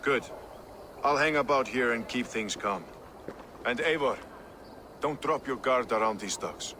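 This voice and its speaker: Gruff, blunt dock watchman, mid-pitch, plain talk. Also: measured pace, sharp tone, slight background noise. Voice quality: blunt, crude-tongued.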